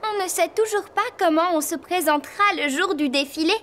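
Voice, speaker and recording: Earnest, very high-voiced cartoon girl. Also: brisk, slight background noise. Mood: thoughtful, earnest. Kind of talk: French animated series dubbing.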